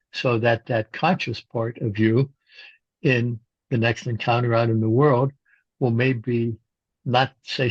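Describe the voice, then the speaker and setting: An older man speaking as an interview guest about psychology and spiritual practice, thoughtful and halting, often restarting his sentences. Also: medium pitch, creaky, breathy edge, no echo. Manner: halting, with frequent restarts and fillers. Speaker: guest, Jungian analyst and shamanic practitioner. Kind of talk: reflective interview conversation. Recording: muffled, dull-sounding audio.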